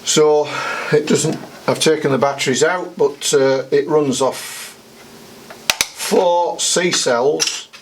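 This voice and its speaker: A man's voice: a little high, takes it slowly, a little hiss or hum. A man giving a casual how-to demonstration, explaining each step in a matter-of-fact way. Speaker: DIY demonstrator.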